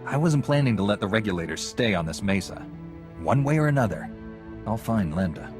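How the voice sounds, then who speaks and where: A calm, noble warrior monk in a sci-fi game cutscene. His voice is on the low side, formal and firmly assured, with clear music behind it. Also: a little fast. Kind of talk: video game cutscene acting.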